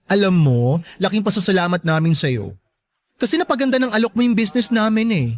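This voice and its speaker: A woman playing a sharp-tongued, joking best friend in a Filipino radio drama, campy and teasing. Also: mid-range voice, very animated, brisk, natural voice. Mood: playful, teasing.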